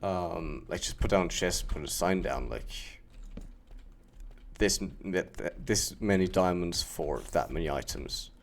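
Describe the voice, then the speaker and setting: A man's voice: low-pitched, a little slow, creaky, a little hiss or hum. A young man with a German accent chatting casually and joking as he streams a game. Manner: relaxed, chatty, laughing and joking with a co-player. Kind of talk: casual gaming chat.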